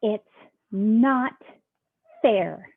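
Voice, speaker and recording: A woman voicing an excitable male storybook pigeon who keeps begging for things, with dramatic, drawn-out whines. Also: medium pitch, creaky, slightly rough, heavily acted. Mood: frustrated. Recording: tinny phone-like sound.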